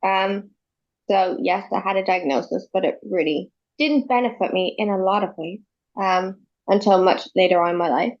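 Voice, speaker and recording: A woman's voice: medium pitch, moderate pace. Young Irish woman guest, conversational, slightly muffled call audio. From Ireland. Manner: conversational, with many ums and you knows. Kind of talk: casual interview talk. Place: home in Canada, remote call.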